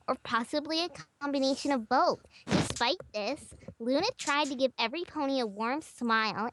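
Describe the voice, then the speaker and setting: High-voiced woman reading a story. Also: fairly bright tone, slight background noise. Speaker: podcast reader. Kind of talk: amateur story narration.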